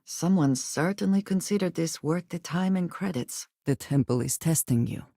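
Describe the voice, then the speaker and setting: An actress playing a guarded, earnest female spacefaring companion in a video game, speaking in formal, measured wording. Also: sits low, expressive, dark tone, no echo, studio quality. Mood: composed, observant. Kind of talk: video game character dialogue.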